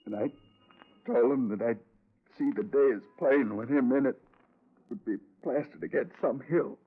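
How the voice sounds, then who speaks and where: An American actor playing a nervous, defensive ground crewman under questioning, halting and anxious, in 1950s radio drama. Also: medium pitch, lightly acted. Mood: anxious, confessing. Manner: halting, anxious, stammering repetitions. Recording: thin, narrow-band old radio recording.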